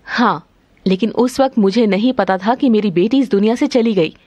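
A woman's voice: medium pitch, moderate pace, darkish tone, no echo, highs cut off, natural voice. A woman voicing an emotional, remorseful mother with earnest, dramatic delivery in a cartoon story. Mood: shocked.

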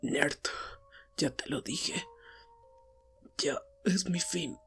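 A man's voice: fairly high pitch, very slow, creaky, a bit bright, soft background music, heavily acted. A man playing a dying teenage boy hero, proud but remorseful, speaking weakly and falteringly in an anime fandub drama. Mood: resigned, weak. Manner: weak, faltering, breaking into whispers. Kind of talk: Spanish fandub audio drama, emotional acting.

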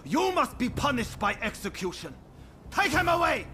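An actor playing a severe, imperious judge with a harsh, commanding manner in a video game cutscene. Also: male voice, very high-pitched, sing-song, moderate pace. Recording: noisy background ambience.

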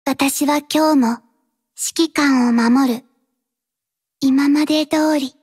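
An actress voicing a reserved, dutiful young female mercenary in an anime-style mobile game, speaking in a quiet, terse, halting and earnest way. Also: high voice, fairly even intonation. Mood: soft, reserved.

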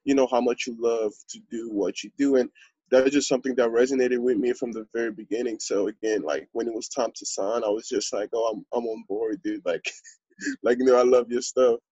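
A man in his twenties from Congo, a podcast guest telling his story in a relaxed, earnest way with lots of fillers. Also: medium pitch, brisk, husky, no room sound, dull audio. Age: 20s. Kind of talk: podcast interview.

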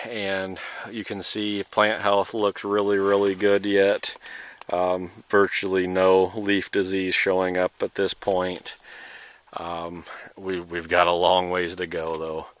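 A man's voice: low voice, completely flat delivery, slow pace, a bit bright. A man with a Midwestern American accent talks casually and unscripted as a farmer showing his field, in an understated, hedging way, recorded outdoors on a handheld camera. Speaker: farmer hosting a field video. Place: outdoors in a cornfield. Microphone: handheld camera or phone, operator behind it.

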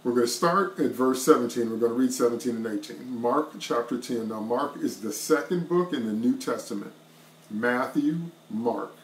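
A man's voice: mid-range voice, moderate pace, slight background noise. A middle-aged man preaching an online devotional sermon to a webcam from a small wood-paneled room, with an emphatic, repetitive cadence. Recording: roomy echo.

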